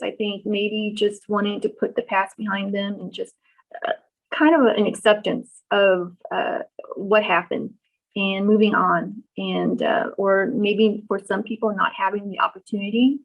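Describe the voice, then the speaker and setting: A middle-aged woman born in Vietnam, answering questions in an oral history interview, pausing often with ums and restarts. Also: medium pitch, fairly even intonation. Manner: thoughtful, many ums and restarts. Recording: dull lo-fi recording.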